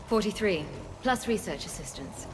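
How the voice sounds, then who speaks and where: A woman's voice: medium pitch, lively intonation, normal speed, noisy background, lightly acted. A woman playing a dedicated, protective scientist in a sci-fi video game, earnest and composed under pressure. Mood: composed, urgent. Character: dedicated female scientist leading frightened refugees, protective. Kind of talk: cinematic video game dialogue.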